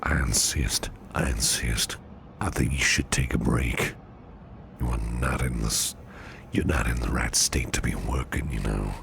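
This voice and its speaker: Man acting a rumbling, gravelly, doting boss. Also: slight background noise, full character voice. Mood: caring, insistent. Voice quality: very deep, gravelly, breathy near-whisper. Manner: slow, soothing, teasing, repeats phrases softly. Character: doting, flirtatious, protective male businessman boss. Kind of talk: soft intimate ASMR roleplay.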